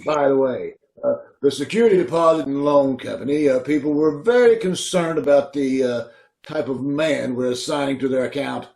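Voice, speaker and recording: A man's voice: medium pitch, moderate pace, vocal fry, darkish tone, natural voice. A man playing a pompous middle-aged boss who speaks in proverbs, old-time radio comedy style, recorded over a video call. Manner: pompous, preachy, fond of sayings. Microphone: home mic on a video call. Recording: echoey home room over a video call.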